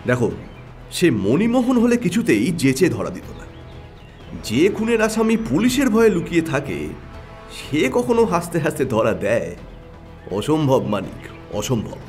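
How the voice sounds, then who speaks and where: A man's voice: a little high, very animated, unhurried, a little mellow, faint music behind. An actor playing a keen, confident male detective who reasons emphatically in an audio mystery drama. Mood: certain, emphatic.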